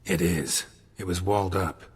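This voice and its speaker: An actor playing a gruff, laconic male monster hunter in a video game, speaking in terse, understated phrases. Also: low-pitched, normal speed. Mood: matter-of-fact. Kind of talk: video game cutscene acting. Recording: music clearly behind the voice. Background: game music.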